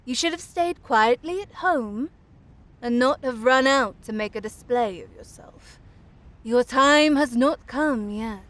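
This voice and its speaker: Lady doing mean winter wind in a fairy tale, scolding and mocking, high voice, drawn-out words. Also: fairly bright tone. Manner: taunting, reproachful. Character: scolding, mocking, cold-hearted wind and weather.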